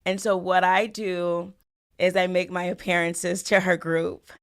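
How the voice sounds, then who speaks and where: A woman's voice: on the low side, level tone, measured pace, smooth. A woman from the Bahamas and Florida answering interview questions as a guest in a reflective, conversational way. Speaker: podcast guest, family law attorney.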